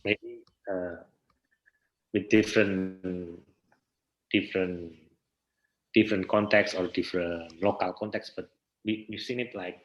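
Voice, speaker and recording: Male interview guest, Indonesian accent, lowish pitch, very unhurried, halting and reflective delivery with repeated phrases. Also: muffled recording. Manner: hesitant, searching for words, repeats phrases. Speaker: interview guest, theatre researcher and festival director. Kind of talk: thoughtful interview answers.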